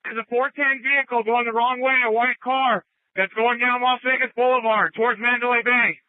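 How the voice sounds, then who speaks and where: A man's voice: very high-pitched, flat delivery, fairly quick, no room sound, phone-quality sound. A male police officer making urgent radio reports, heard through a police scanner. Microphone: two-way police radio.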